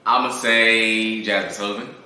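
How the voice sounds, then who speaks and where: Man, lowish bright voice, casual animated storyteller as interview guest, recorded in a very reverberant room. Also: moderate pace. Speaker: guest, singer-songwriter. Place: echoey room. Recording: very echoey room, faint noise.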